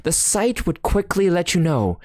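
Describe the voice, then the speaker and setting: A man's voice: high-pitched, big pitch swings, a little slow, dry recording, pitched above the performer's own voice. Guy playing a cocky penguin sailor singing a silly sea shanty, very theatrical, swoopy, dry sound. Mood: jaunty singing.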